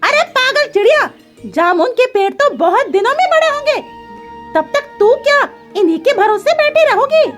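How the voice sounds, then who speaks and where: A woman's voice: very high-pitched, very animated, moderate pace, music in the background, pitched above the performer's own voice. A woman voicing a male crow farmer in a children's cartoon, whining and boastful, with an exclamatory way of talking. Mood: mocking.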